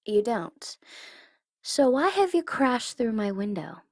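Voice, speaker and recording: A smug, gloating tsundere villainess performed by a woman for an audio roleplay. Her voice sits fairly high and she takes her time, teasing and taunting theatrically, sometimes sinking toward a whisper. The sound is dry and close. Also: very animated, takes it slowly, no echo, heavily acted. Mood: mocking, smug. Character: smug tsundere female supervillain gloating over her captive hero.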